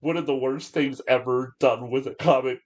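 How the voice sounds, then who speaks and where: A man's voice: medium pitch, fairly even intonation, moderate pace. A man co-hosting a podcast, chatting casually about films in a hesitant way, circling back and repeating his phrases. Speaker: podcast co-host. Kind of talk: casual film discussion chat.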